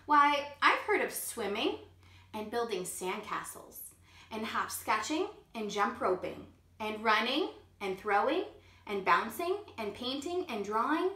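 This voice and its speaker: A woman reads a picture book aloud to young children in a slow, unhurried storytime voice, stopping now and then to ask them questions. Her voice sits a little high and sounds clear and bright. The sound rings in an echoey room. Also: big pitch swings. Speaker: kindergarten teacher.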